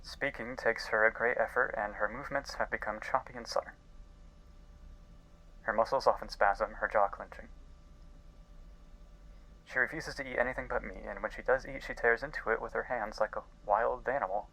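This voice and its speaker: A man playing an earnest, guilt-ridden scientist in an audio drama, speaking intensely and haltingly as if recording a log. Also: medium pitch, steady tone, bright, forceful tone, rough recording. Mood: worried, grave. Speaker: voice actor. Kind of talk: dramatic audio-log monologue in a fiction podcast.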